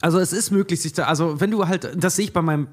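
A man's voice: on the high side, racing. A man from eastern Germany co-hosting a podcast, frank and rambling in casual chat. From eastern Germany, Uckermark. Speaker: podcast co-host.

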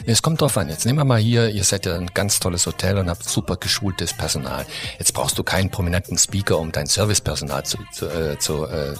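Ein Mann, der als Gast in einem Interview sicher und erklärend über die Buchung von Rednern spricht. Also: male voice, sits low, brisk, few pauses. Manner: confident, explanatory, with hesitations. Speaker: speaker agency guest. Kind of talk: explaining in an interview.

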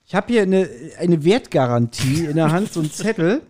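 Higher-voiced man chatting as podcast host. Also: moderate pace, slight background noise. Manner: animated storytelling, laughs readily, acts out quoted remarks. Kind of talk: casual chat, anecdotes.

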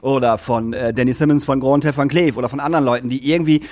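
A man's voice: medium pitch, fairly even intonation, fast, darkish tone. A man, ranting in a casual podcast chat, swearing freely and filling gaps with hesitations. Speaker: podcast co-host.

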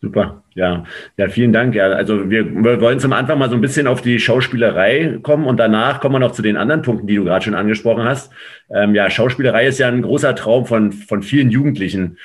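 A man's voice: on the low side, fast talker. A man hosting a podcast, asking questions in a casual interviewing style. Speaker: podcast host.

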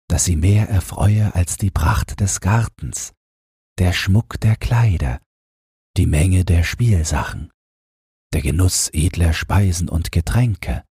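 A man narrating a fairy tale as an audiobook reader, in a gentle and expressive storytelling manner. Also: low voice, slightly rough, dry recording, studio quality.

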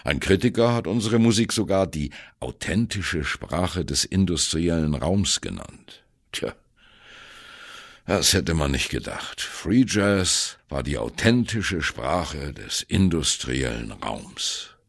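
An ageing, sardonic jazz drummer in a radio play, telling his own story. His voice is very low and husky, and it swings widely in melody as he recounts his doubts with dry wit. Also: animated, slow pace, full character voice. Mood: dry, reminiscing. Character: wry, self-doubting ageing free jazz drummer reminiscing. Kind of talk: radio play monologue, first-person narration.

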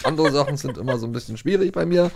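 Male podcast co-host, gravelly voice, lively and joking, casual chat. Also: on the high side, racing, a crowd in the background. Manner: playful, jokes and silly voices. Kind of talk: casual chat about radio plays.

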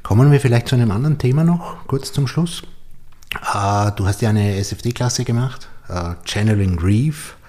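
Husky, mellow male podcast host. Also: mid-range voice, normal speed. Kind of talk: casual podcast interview moderation.

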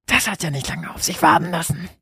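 A thrill-seeking young man on a night ghost hunt in a horror audiobook , acted out by the male narrator. He speaks in an excited, breathy and dramatic hush, recorded very dry. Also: a little high, brisk, no echo, pitched above the performer's own voice, exaggerated voice. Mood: hushed excitement. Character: thrill-seeking young boyfriend, eager, hushed ghost hunter.

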